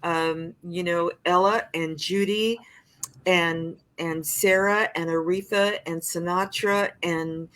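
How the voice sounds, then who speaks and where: Older woman, lowish voice, reflective, expressive, restarts sentences, podcast interview guest. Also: slow. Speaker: singer-songwriter guest.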